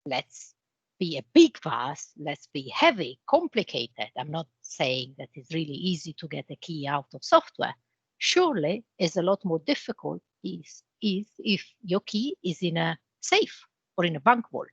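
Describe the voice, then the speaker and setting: Husky Italian woman, low and dry. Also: big pitch swings, no echo, dull audio. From Italy. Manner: explains with homely comparisons, measured. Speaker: interview guest, channel manager. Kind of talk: business interview.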